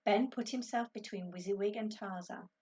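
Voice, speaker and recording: A woman's voice: medium pitch, normal speed, warm tone, breathy edge. A woman teacher reading a children's story aloud expressively to her class.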